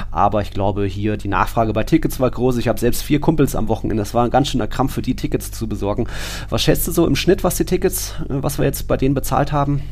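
A man co-hosts a casual football discussion. His pitch is average for a male voice. He is chatty, stringing his thoughts together in loose, run-on phrases. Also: fast talker, few pauses, a little mellow. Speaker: podcast host.

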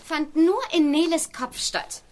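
A woman's voice: high-pitched, measured pace, warm tone, slight background noise. An actress playing an arrogant, mocking schoolgirl who leads a clique in a radio play. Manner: mocking, condescending.

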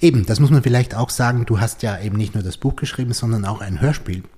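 A man's voice: on the low side, fast, mellow, husky. A man hosting a podcast interview, thinking aloud with fillers and tag questions. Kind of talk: conversational interview.

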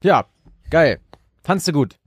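A man's voice: fairly high pitch, sing-song, takes it slowly, breathy edge, dry recording, studio quality. A man co-hosting a podcast with playful, loose, improvised casual banter. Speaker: podcast co-host.

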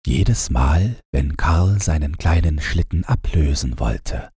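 A man narrating a bedtime fairy tale from a script in a calm, measured, gentle way. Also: very deep voice, husky, dry recording, clean studio sound. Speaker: audiobook narrator.

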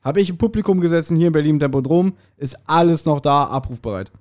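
A man with a fairly high voice chats casually as a podcast co-host. He rambles along playfully, often poking fun at himself, in an easy conversational style. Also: expressive, quick pace, a little mellow.

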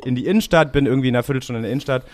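A man's voice: mid-range voice, racing, a little mellow. Joking man chatting. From Hamburg, Germany. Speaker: podcast co-host, voice actor. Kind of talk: casual chat.

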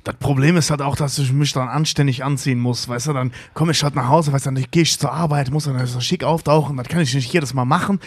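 Podcast co-host, man, rapid husky chatter, dry sound. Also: mid-range voice, steady tone, no room sound. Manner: chatty, fillers like weißt du. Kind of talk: casual podcast banter.

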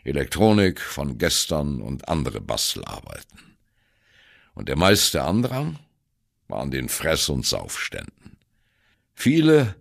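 Radio play monologue: older jazz musician, wry and self-doubting, gravel-throated bass, dry sardonic storyteller. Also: male voice, full character voice. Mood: wry, admiring. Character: wry, self-doubting ageing free jazz drummer reminiscing. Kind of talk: radio play monologue, first-person narration.

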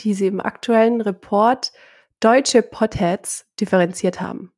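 A woman co-hosting a casual podcast while she has a cold, relaxed and friendly, laughing lightly. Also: medium pitch, a little slow. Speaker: podcast co-host. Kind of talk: casual chat.

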